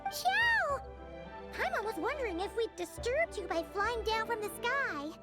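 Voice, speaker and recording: A woman voicing a small, chatty, excitable fairy companion in a video game, squeaky and childlike. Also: measured pace, heavily acted. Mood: chirpy. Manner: refers to self in third person, exclaims. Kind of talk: video game character dialogue. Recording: game music behind the voice.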